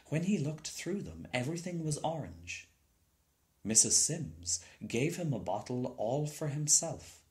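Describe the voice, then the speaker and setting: Man reading a storybook slowly, with lively pitch. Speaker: storybook reader. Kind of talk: scripted story narration, read aloud.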